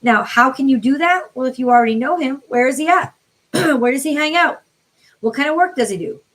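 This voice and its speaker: An American woman from the New York City area reads tarot on a live stream, chatting informally with viewers by name, her voice tiring and getting a little hoarse. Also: high-pitched, a little fast, a bit bright. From New York City area, USA. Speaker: tarot card reader, livestream host.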